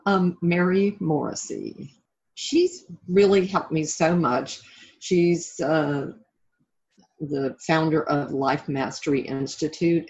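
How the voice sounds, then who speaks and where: A woman's voice: low voice, a little mellow. Middle-aged woman, dull lo-fi audio. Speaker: interview guest, author and life coach. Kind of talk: casual interview chat.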